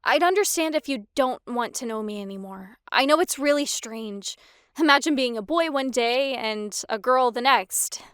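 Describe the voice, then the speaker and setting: A woman's voice: on the high side, expressive, normal speed, a bit bright, clear, resonant tone, no room sound, studio quality. A woman voicing an anxious, embarrassed teenage cat girl in a comic dub, hesitant and confessional. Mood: ashamed, pleading.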